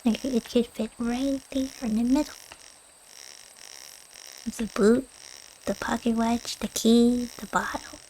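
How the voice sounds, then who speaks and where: A woman's voice: on the high side, fairly even intonation, slow pace, pauses often, a little mellow, slight background noise, rough recording. A woman voicing a curious, earnest young boy in a video game, with a wistful manner.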